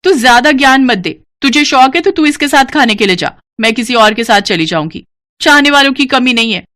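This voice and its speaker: A woman's voice: sits high, expressive, a little fast, a bit bright, voice pushed up. A snooty young college woman from a moral story, put on by a female narrator. Her voice is raised and swoops with scorn as she taunts and belittles. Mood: haughty. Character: haughty, mocking young city college woman.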